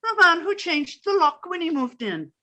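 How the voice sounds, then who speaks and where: A bright, high, sing-song older Irish landlady, played by a woman. She chatters coyly and theatrically, swooping up and down as she gossips in old-time radio comedy fashion. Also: big pitch swings, normal speed, muffled recording, full character voice. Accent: put-on Irish.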